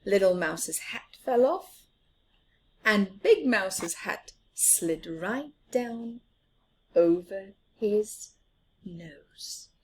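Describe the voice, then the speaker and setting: A woman's voice: mid-range voice, animated, very slow, husky. A woman reading a picture book aloud expressively for young children, putting questions to them and often dropping toward a whisper. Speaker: children's storyteller.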